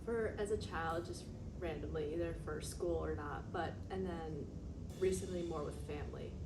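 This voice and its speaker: A woman's voice: low-pitched, normal speed. A twenty-year-old woman in an interview, telling stories with many "like" fillers. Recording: echoey room with ambient noise.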